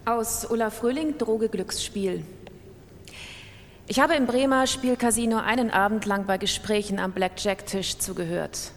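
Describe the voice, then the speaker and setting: A woman giving a scripted reading of first-person accounts in a radio drama. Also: a little slow. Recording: long reverb, faint rumbling noise.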